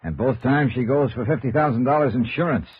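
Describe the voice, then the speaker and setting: A man's voice: mid-range voice, fairly quick, a little hiss or hum, close to an everyday voice. A male actor playing a cool, hard-boiled insurance investigator in a 1950s radio noir, clipped and matter-of-fact. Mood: grave, terse. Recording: dull vintage radio recording, music behind.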